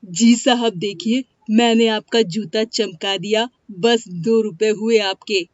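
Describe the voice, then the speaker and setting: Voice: medium pitch, slow pace, dry recording, natural voice. Performer plays young male cobbler in a moral-story cartoon: meek, deferential, plaintive, close dry lo-fi sound. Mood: eager, polite. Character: poor, humble, pleading orphan cobbler boy. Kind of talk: animated moral-story dialogue. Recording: dry, dull lo-fi studio sound.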